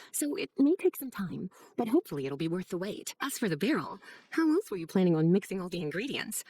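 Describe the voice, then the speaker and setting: Teasing motherly game teacher, breathy female voice, lively swooping intonation, slyly persuasive. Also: mid-range voice. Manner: coaxing, teasing, unhurried persuasion. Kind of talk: video game character acting.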